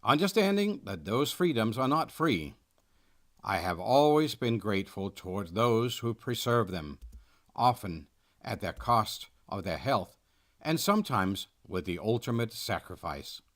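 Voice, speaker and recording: A man reads a prepared presentation, his voice sitting a little low. He speaks earnestly and at a measured, deliberate pace, like someone reading from a script. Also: sing-song, fairly bright tone. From Denmark. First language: Danish. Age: 60s. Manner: earnest, measured read-aloud delivery. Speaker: nonprofit founder presenting. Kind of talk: scripted first-person presentation narration.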